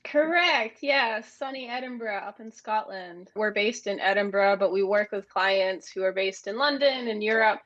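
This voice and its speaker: A woman's voice: mid-range voice, moderate pace, few pauses, fairly bright tone, no room sound, highs cut off. A woman from the United States with an American accent, answering conversationally as an interview guest. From United States (Maine). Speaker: interview guest, agency managing director.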